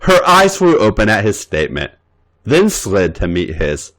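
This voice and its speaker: A man reading fan fiction aloud as a podcast host, narrating in an expressive way. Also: normal speed. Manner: voices characters' lines with big pitch jumps.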